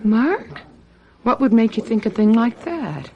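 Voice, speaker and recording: A woman's voice: fairly high pitch, big pitch swings, unhurried, highs cut off. A woman playing a trusting, easily swayed wife in a radio mystery drama, yielding and languid in manner. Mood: uneasy, apologetic. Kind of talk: radio mystery drama acting with confiding narration.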